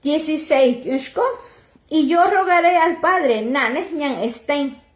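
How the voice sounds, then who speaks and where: A woman from Oaxaca whose first language is Chatino, slowly reading scripture and preaching, with halting restarts and self-corrections as she translates. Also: high voice, reverberant. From Oaxaca, Mexico. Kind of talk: slow Bible reading and sermon, translated into Chatino.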